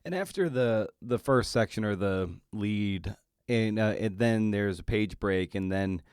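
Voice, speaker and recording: A man's voice: fairly low pitch, unhurried, darkish tone, smooth, no echo, clean studio sound. A man hosting a podcast and putting questions to his guest in a casual, conversational style. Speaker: podcast host, interviewer.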